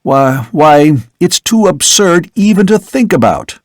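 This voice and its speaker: A man's voice: on the high side, animated, normal speed, darkish tone, no echo, studio quality, voice pushed up. A man reading an earnest young son in an audiobook, who pleads insistently and with passion. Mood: impassioned.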